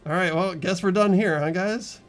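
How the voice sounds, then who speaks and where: A man's voice: sits high, normal speed, soft background music. A young man hosting a group game playthrough, moving between reading narration and casual commentary.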